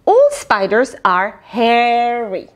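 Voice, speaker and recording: A middle-aged woman reads to young children in a high voice. Her intonation swings widely and she takes her time, pausing to question and explain like a teacher. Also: very animated. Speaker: children's storyteller, host. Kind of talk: animated picture-book read-aloud with asides to children. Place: small indoor fabric tent with cushions.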